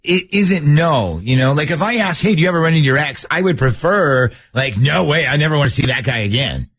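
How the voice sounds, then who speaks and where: A man's voice: fairly high pitch, lively intonation, brisk, a bit bright, breathy edge. A man hosting a radio show with casual, glib, teasing banter.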